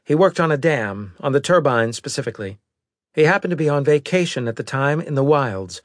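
A man voicing a brisk, formal female military intelligence officer giving precise reports in an audiobook. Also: medium pitch, lively intonation, no room sound, studio quality, voice pushed up, lightly acted.

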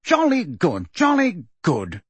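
A male narrator acts out a pompous older politician for a storybook. The voice sits high and swoops widely, blustering and thick with sarcasm, in a dry, close recording. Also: sing-song, a little slow, sharp tone, husky, no room sound, full character voice. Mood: hearty.

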